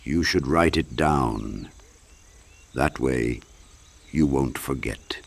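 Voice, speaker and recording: Male narrator as sly grinning cat, very low pitch, silky purr, unhurried, cryptic, audiobook fantasy. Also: creaky, full character voice. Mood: cryptic guidance. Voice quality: silky, purring.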